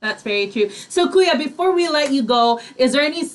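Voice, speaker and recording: A woman's voice: sits high, animated, fairly quick. A woman hosting a casual radio interview, agreeable and encouraging as she talks. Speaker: radio host.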